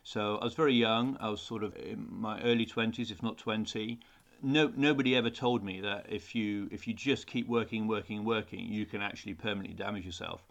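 A man's voice: on the low side, fairly even intonation, fairly quick, vocal fry, a bit bright. Earnest middle-aged man. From England. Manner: earnest, repeats words when he restarts. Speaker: design teacher, podcast guest. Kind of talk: conversational interview answers.

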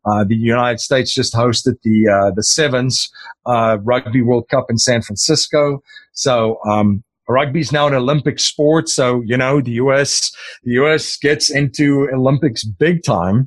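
A man's voice: medium pitch, a little slow, dry recording. A middle-aged man, an Afrikaans speaker, talking casually in an interview, rambling with many fillers and restarts. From South Africa. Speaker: podcast host as interview guest.

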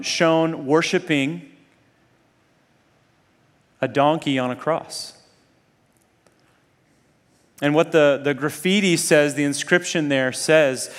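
Male preacher, slightly high voice, long hall reverb, conversational and earnest sermon delivery, rhetorical questions. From Zambia and Kenya. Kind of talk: sermon to a student audience.

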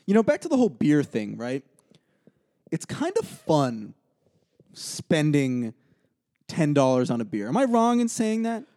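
Chatty man, a bit high-voiced. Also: wildly swooping pitch, a little slow, long pauses, dark tone. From Massachusetts. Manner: enthusiastic, list-driven, laughs often. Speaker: podcast co-host. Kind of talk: casual sports chat.

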